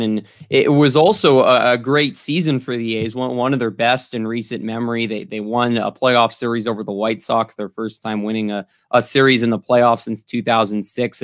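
A man's voice: mid-range voice, a bit bright, no echo, studio quality. A young man from Northern California with an American accent, hosting a sports interview over a video call with hesitant, wordy questions. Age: young adult. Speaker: sports show host, interviewer. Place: small room at home, indoors.

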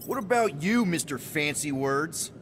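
A man's voice: a little high, lively intonation, measured pace, a bit bright, slight background noise. A man playing a young, exasperated space-outlaw leader in a video game, talking in a casual, wry way. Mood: wary. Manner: informal, wry. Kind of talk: conversational video game acting.

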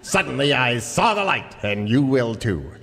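A man's voice: mid-range voice, big pitch swings, normal speed, a bit bright. An actor playing a folksy, grandiose cowboy record salesman in a parody radio commercial. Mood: eager. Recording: live hall, faint audience.

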